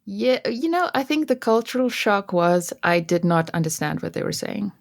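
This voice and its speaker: A woman's voice: mid-range voice, moderate pace, creaky, dry recording, clean studio sound. A woman from South Africa, an Afrikaans native speaker with a South African accent, chatting as an interview guest and often restarting her sentences. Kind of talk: casual interview chat.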